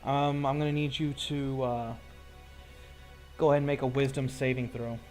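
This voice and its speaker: A man's voice: mid-range voice, steady tone, normal speed, a little mellow, faint music behind. A man acting as game master, narrating a tabletop roleplaying fight in vivid, gory detail and breaking off for rules talk.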